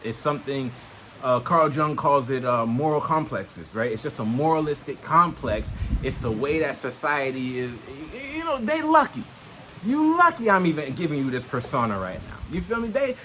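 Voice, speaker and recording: Guy w kinda high voice rambling to camera outside, slangy n sweary, keeps checking u get it, bit of background noise. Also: a bit bright. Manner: streetwise, slangy, frequent swearing; punctuates points with checking questions to the listener. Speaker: self-help vlogger. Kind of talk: casual unscripted monologue, teaching to camera. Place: outdoors in nature.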